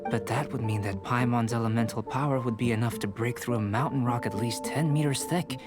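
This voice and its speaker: A man voicing a composed young alchemist in a video game, speaking in a measured, precise and detached way. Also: medium pitch, music in the background, close to an everyday voice. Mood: musing, analytical. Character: calm, analytical young male alchemist researcher.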